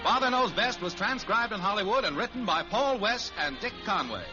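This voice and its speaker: A man's voice: high voice, expressive, brisk. An American man reading scripted narration as announcer of a 1950s radio show. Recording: muffled vintage radio with music behind.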